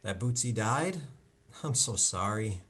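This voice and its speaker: Casting: man as concerned adult son, earnest and coaxing yet exasperated, with swooping, lively intonation. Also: lowish voice, a little slow, husky, exaggerated voice. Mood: affectionate concern. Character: concerned, exasperated grown son confronting his mother. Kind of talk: live play reading over video call. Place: indoors, on a video call.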